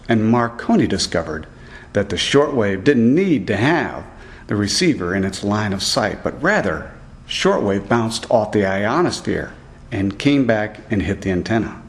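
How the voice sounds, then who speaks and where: A man narrating a scripted report as a student reporter, reading aloud in a deliberate way. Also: fairly low pitch.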